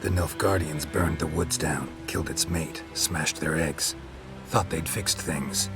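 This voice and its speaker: Stoic monster hunter, extremely low voice, clipped flat lines, game music behind. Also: male voice, a little slow. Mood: terse. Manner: terse, clipped, flat delivery. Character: gruff male monster hunter, terse and unflappable. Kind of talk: video game voice acting.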